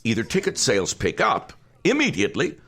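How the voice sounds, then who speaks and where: A man playing an older, stern and condescending theater financier with a gravelly voice and formal manner in an audio drama. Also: mid-range voice, wildly swooping pitch, a little fast, fairly bright tone, exaggerated voice. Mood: threatening. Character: stern, condescending male theater financier issuing cold ultimatums.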